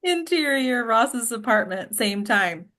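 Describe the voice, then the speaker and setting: A woman's voice: on the high side, expressive, slow, pushed and bright. A woman reading out screenplay stage directions as scripted narration at a table read.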